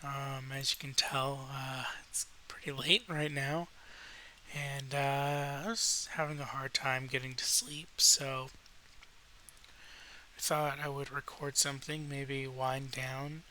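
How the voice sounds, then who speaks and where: A man with a slightly rough voice, rambling in a relaxed, hesitant late-night style with frequent pauses, as a video host. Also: medium pitch, rough recording. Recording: noticeable faint background hiss.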